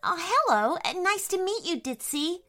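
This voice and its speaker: Woman playing a sweet, high-voiced young princess. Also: sing-song, measured pace, sharp tone, soft background music, exaggerated voice. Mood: delighted, greeting. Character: young princess girl, sweet, eager and adventurous.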